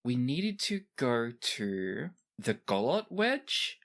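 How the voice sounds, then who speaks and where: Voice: medium pitch, sing-song, slow pace, a bit bright, heavily acted. A game master voicing a laconic captain character in a tabletop game. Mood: matter-of-fact.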